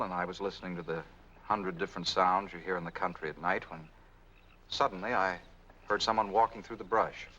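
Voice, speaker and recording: An actor playing an earnest, lovestruck American engineer in a 1940s film noir, speaking in a conversational manner. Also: male voice, deep voice, sharp tone, muffled recording, slight background noise. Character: earnest, lovestruck male engineer, sentimental and solicitous. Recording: 1940s film soundtrack, faint music.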